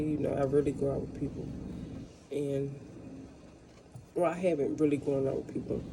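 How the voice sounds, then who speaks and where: A woman from the United States vlogging in a casual, rambling storytelling way while she cooks, recorded without a dedicated microphone. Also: sits low. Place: kitchen. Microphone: no dedicated microphone, built-in device mic. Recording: noisy kitchen room without a microphone.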